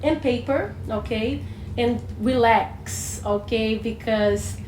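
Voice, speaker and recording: Slow, echoey Brazilian woman co-host. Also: fairly high pitch, slight background noise. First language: Portuguese. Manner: animated, restarts phrases often. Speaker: podcast co-host. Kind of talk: casual teaching chat. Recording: echoey room, faint ambience.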